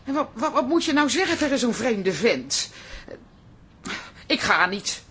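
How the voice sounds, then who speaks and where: A woman's voice: a little high, big pitch swings, unhurried, fairly bright tone, husky, heavily acted. A woman playing a nervous, outspoken middle-aged aunt in a radio comedy drama, with a flustered, blunt way of talking. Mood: flustered, anxious. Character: nervous, stubborn, outspoken aunt going speed dating.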